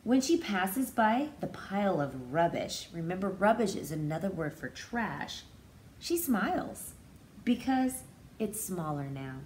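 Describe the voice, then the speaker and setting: Expressive woman reading aloud to young children. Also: on the low side, measured pace, a little hiss or hum. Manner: expressive, explains words and feelings simply to young children. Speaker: pre-K teacher reading aloud. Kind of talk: children's picture-book read-aloud with explanatory asides.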